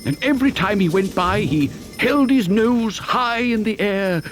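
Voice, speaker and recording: A man's voice: high voice, lively intonation, a little slow, music in the background. An actor playing an exuberant, boastful chocolate maker who tells a children's story theatrically, savouring his words. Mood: relishing, dramatic. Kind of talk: dramatised children's story narration.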